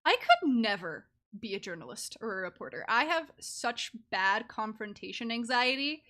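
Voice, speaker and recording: A woman streaming a video game with casual live commentary, giggly and excitable in her reactions. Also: a little high, moderate pace.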